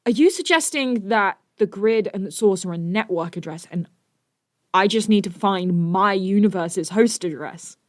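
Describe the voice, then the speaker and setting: A woman's voice: medium pitch, normal speed, dry recording, clean studio sound, close to an everyday voice. A woman playing a brainy, self-assured teenage superhero with a friendly, nerdy manner in an audio drama. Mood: excited, thinking aloud.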